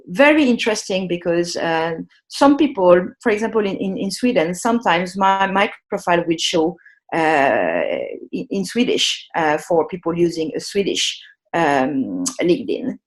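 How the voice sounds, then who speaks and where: Woman, online workshop presenter, friendly and halting, video-call mic. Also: on the low side. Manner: hesitant, many ums and restarts, friendly instructional. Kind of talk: live online workshop on a shared screen.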